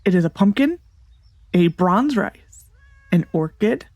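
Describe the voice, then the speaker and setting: A woman's voice: lowish voice, lively intonation, takes it slowly, a little mellow, no room sound. A woman with a congested, nasal voice, talking casually and thinking aloud as she plays a farming game, with many ums and restarts. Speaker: let's play gamer. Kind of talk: casual gameplay commentary.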